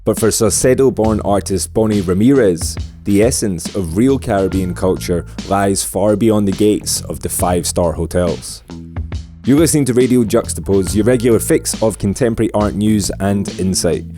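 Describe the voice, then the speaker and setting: A man's voice: fairly low pitch, normal speed, dark tone. A man reading a scripted podcast introduction as its host. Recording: music bed under voice.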